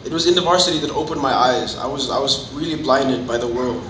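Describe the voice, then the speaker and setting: A man in his twenties from Fiji with a Fijian accent, giving a personal testimony to a group in an earnest, halting way. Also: medium pitch. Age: 20s. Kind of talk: personal testimony, informal public speaking. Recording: roomy, distant mic, noticeable background noise.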